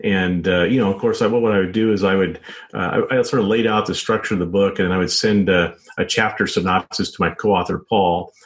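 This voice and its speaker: Male interview guest, author type. Low voice, halting explanatory delivery with repeated words and frequent "you know". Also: steady tone.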